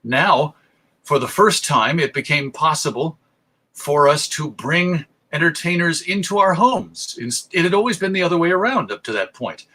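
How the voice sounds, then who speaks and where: An American man giving an informal public lecture online, speaking in a measured, deliberate way with pauses for emphasis. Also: on the high side, lively intonation, bright, forceful tone, slightly rough. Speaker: presenter, radio show host. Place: remote online presentation.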